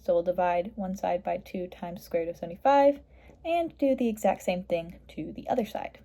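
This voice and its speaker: A woman's voice: on the low side, a little fast, dark tone. A woman explaining a worked math problem step by step in a matter-of-fact, instructional way, like a tutor.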